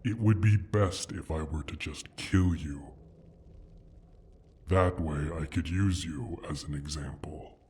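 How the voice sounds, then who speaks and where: A man playing an injured, menacing dragon who guards his gold hoard, speaking in a slow, deliberate, husky voice in an ASMR roleplay. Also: darkish tone, a little hiss or hum. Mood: musing, threatening. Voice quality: husky, breathy, deep.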